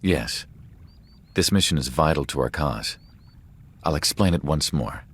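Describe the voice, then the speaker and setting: A man's voice: very deep voice, lively intonation, unhurried, a bit bright, slightly rough, exaggerated voice. A man voicing a stern, commanding rebel leader in a video game, serious and authoritative.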